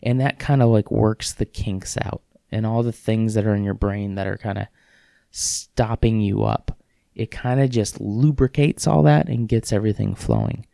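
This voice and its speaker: A man hosting a solo podcast, rambling in a casual, self-deprecating way with halting restarts and a sniffle. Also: lowish voice, vocal fry, dark tone, no room sound, clean studio sound. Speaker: podcast host.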